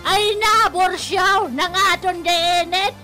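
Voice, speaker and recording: A woman playing a fed-up, scolding wife in a radio comedy drama, with a whining, drawn-out delivery. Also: very high voice. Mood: nagging. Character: nagging, fed-up wife scolding her lazy husband. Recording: music under radio drama dialogue.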